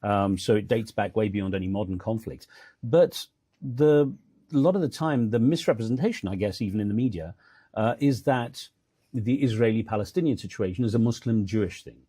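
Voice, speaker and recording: A man's voice: on the low side, animated, fairly quick, mellow, slightly rough, no echo, clean studio sound. A middle-aged man hosting an interview, putting polite, hedging challenges with fillers. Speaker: interviewer, host. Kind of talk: probing interview questions.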